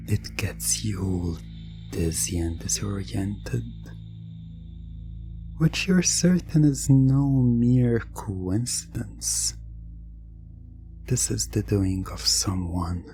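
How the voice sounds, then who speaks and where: A low-pitched voice narrates an eerie second-person hypnosis story in ASMR style. The delivery is very slow and hushed, often dropping to a whisper. Music plays behind the voice.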